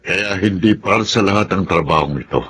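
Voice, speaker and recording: A man's voice: low-pitched, fairly quick, a bit bright, slightly rough. An actor playing a seasoned, understanding Filipino army officer in a radio drama, fatherly and reflective.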